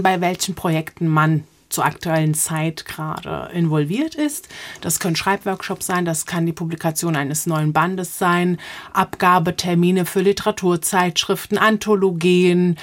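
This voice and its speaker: Slightly low-voiced woman, reflective interview guest. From Offenbach, Germany. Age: 40s. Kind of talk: conversational interview answers.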